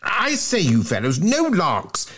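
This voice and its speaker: A man's voice: high voice, huge pitch swings, slow, a bit bright, husky, voice pushed up, heavily acted. A man voicing a fat, flustered schoolboy from a storybook, greedy and indignant, who stammers and blusters out his excuses. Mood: flustered pleading. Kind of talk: storybook character voice in a dramatic reading.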